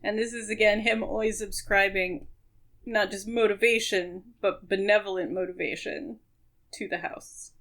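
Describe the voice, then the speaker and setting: Creaky-voiced woman co-hosting a podcast. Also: medium pitch, steady tone, normal speed, a bit bright. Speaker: podcast co-host. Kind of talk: casual book discussion.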